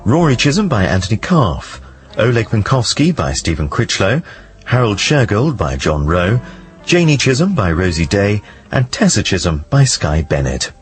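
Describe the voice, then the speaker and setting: A man's voice: fairly low pitch, sing-song, normal speed, soft background music. A man reading scripted closing credits as a radio announcer. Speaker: continuity announcer.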